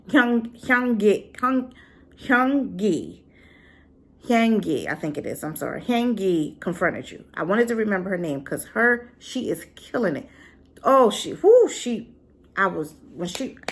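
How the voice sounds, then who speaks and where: A woman talks straight to her viewers in a sassy, animated, casual way. Her voice sits at an ordinary middle pitch. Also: breathy edge. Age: adult, been married and divorced. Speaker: drama reviewer. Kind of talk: casual review chat.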